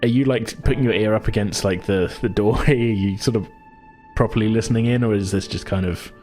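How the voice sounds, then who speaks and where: A man's voice: on the low side, a little fast, a little mellow, faint music behind. A man narrating a tabletop roleplaying game as game master, casual, chuckling and rambling.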